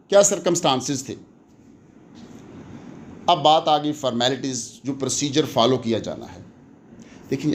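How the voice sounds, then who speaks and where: A man with a Pakistani accent lecturing in an explanatory way, deliberate and emphatic, switching between languages as he teaches. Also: fairly high pitch, slight background noise. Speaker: law lecturer.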